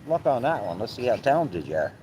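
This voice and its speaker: A man's voice: mid-range voice, very animated, fast talker, noisy background. A man hosting his own live stream, chatting casually with viewers, blunt and swearing freely, often repeating himself. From Canada. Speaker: livestream host. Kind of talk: casual live stream chat reading comments.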